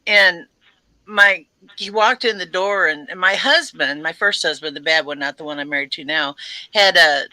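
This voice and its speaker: A woman's voice: lowish voice, normal speed, no room sound, rough recording. A woman on a live talk stream, chatting casually and telling a funny family story with laughs. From family from Arkansas. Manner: laughing, lively anecdote telling.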